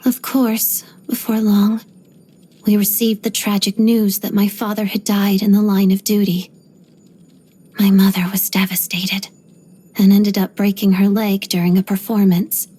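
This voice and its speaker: A young woman ballerina from a video game, with a soft, breathy voice that often thins toward a whisper. She speaks in a composed, measured way, polite but guarded. Beneath the discipline sits a proud, quietly haunted temper. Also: mid-range voice, level tone. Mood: somber, resolute. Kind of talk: video game character voice lines.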